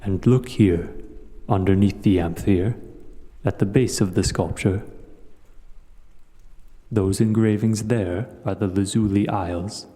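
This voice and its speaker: A cavernous reverb surrounds an old, wise dragon, played by a man, with a very deep voice. He speaks in measured, gentle phrases with a mellow, dark tone, like a thoughtful storyteller in an audio roleplay. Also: fairly even intonation, close to an everyday voice. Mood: thoughtful, explaining. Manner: slow, measured, reflective storytelling. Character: ancient, wise, gentle male dragon hoarder. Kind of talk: audio roleplay acting, addressing the listener.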